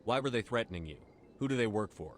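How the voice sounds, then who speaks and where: An actor playing a resolute military commander in a video game, curt and authoritative. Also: male voice, fairly low pitch, lively intonation, fast, slight background noise, natural voice. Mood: commanding.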